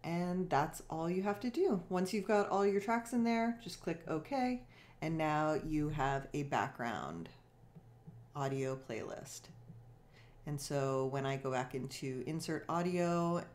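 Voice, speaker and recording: A woman with a low-pitched voice presents a screencast tutorial. She takes her time, explaining each step in an informal, casual style. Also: expressive, takes it slowly, a little mellow.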